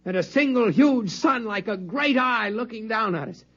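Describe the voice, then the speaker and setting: A man's voice: high-pitched, animated, normal speed, fairly bright tone. A man acting in a 1950s radio drama as a visionary scientist-explorer of a tiny underwater race, grave and declamatory, earnest and driven. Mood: awed, resolute. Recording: muffled, roomy 1950s radio broadcast.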